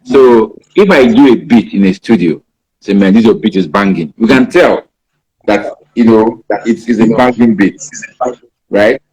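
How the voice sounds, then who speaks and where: A Nigerian man in his fifties, a native Igbo speaker, answers interview questions about writing in a rambling way, filling pauses with "you know". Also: lowish voice, a little mellow, husky, poor audio. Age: 50s. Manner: rambling, many "you know" fillers and restarts. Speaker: writer being interviewed.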